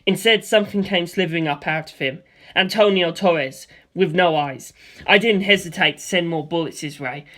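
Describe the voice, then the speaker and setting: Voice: mid-range voice, moderate pace, a bit bright. A narrator telling a first-person action story, voicing gunfire and explosions aloud. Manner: vivid storytelling with spoken sound effects for gunfire and explosions.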